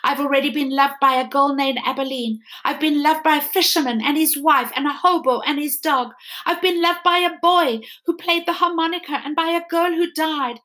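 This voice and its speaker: Lady doing a proud sad toy rabbit, solemn and earnest, a bit higher than her normal voice. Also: normal speed, a bit bright. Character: proud, world-weary male toy rabbit.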